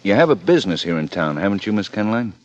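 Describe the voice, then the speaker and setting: Casting: probing, unhurried lieutenant in vintage radio crime drama, low and expressive, dull sound. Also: male voice, a little hiss or hum. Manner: casual, deliberate, hesitating between questions. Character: calm, probing male police lieutenant. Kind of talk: 1950s radio police drama acting.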